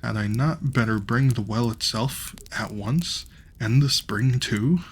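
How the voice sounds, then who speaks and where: A man's voice: mid-range voice, measured pace, husky. A man voicing a boastful little tailor in a storybook, speaking brashly and eagerly.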